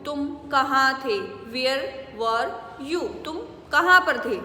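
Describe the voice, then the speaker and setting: A woman with an Indian accent teaching English phrases, saying the model answers slowly and clearly. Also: high voice, pushed and bright, a little hiss or hum. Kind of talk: spoken English lesson in Hindi. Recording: echoey room with long reverb.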